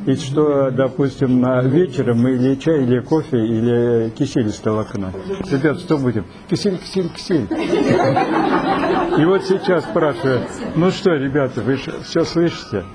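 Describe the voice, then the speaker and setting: A man's voice: mid-range voice, moderate pace, a crowd in the background. A man in his seventies rambling through a folksy personal story in a group talk, full of fillers. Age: 70s. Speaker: participant. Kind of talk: casual storytelling. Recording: noisy recording.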